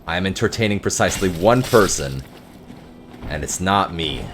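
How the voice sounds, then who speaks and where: A young man with a deep voice, streaming a game and talking wryly into a close mic about his own failures. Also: animated, normal speed, noisy background. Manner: self-deprecating, wry, muttering curses. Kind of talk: casual gameplay commentary. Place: home office. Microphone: close desk mic.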